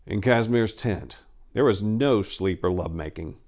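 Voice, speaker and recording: A man with a fairly low voice hosts and narrates dramatic tales. His storytelling is theatrical and emphatic. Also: moderate pace, a little mellow. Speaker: narrator and channel host.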